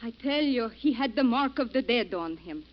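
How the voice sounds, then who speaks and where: Cold scheming showgirl as an actress plays her, silky, lively delivery, dull old radio sound. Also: a little high, moderate pace, lightly acted. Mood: worried. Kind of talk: 1940s radio acting.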